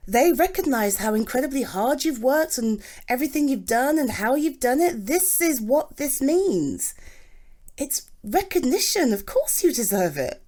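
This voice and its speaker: A British woman acting as a doting, supportive girlfriend in an intimate ASMR roleplay, affectionate and halting as she talks to her partner. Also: high-pitched, big pitch swings, darkish tone, heavily acted. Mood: reassuring, insistent. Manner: gushing, affectionate, halting with sighs and repeats.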